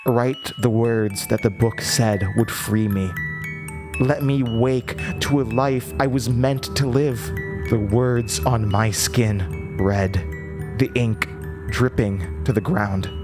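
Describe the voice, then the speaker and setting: Guy playing unhinged haunted storyteller in a horror podcast drama, slow halting dramatic delivery, mid pitch, loud music behind. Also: lightly acted. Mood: entranced. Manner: halting, theatrical, with nervous laughter and drops into whispers. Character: disturbed, haunted storyteller recounting a horrifying childhood. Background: prominent music.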